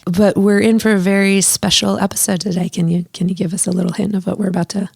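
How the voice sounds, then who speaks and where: A woman hosting a podcast, chatty and quick to laugh, with a slightly scratchy voice. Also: medium pitch, little pitch movement, gravelly, a little mellow, breathy edge, no room sound. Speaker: podcast host. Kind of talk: casual podcast interview.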